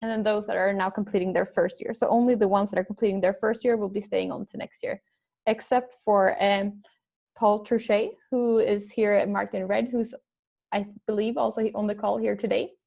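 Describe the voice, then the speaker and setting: A young woman from Sweden leads a video call panel, her voice carrying a gravelly fry. She speaks haltingly and feels her way through introductions. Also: medium pitch, fairly even intonation, a little mellow. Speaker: panel moderator, medical student.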